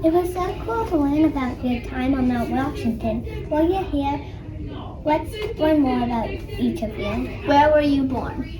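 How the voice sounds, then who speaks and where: A woman's voice: sits high, unhurried, crowd noise. A female interviewer, reading out short scripted questions. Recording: echoey, far from mic, noisy background.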